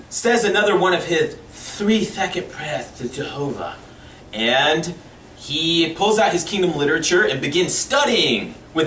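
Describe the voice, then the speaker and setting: A man telling a comic story to camera in a mock-serious way, acting out bits of dialogue with pauses. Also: a little high, sharp tone. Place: small indoor room, seated before camera. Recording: roomy, distant mic with background hiss.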